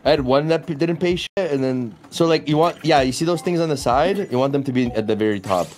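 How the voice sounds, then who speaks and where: A man's voice: fairly high pitch, fairly quick, a little mellow. A man joining as a guest, casually explaining how a slot game works and swearing now and then. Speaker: guest streamer. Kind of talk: casual gaming chat.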